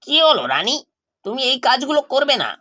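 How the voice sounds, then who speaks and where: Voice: high voice, big pitch swings, a little fast, sharp tone, heavily acted. A performer voicing a trusting, affectionate father in a cartoon, agreeable and coaxing in manner. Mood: cheerful. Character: trusting, affectionate widowed father, easily persuaded. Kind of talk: cartoon voice acting.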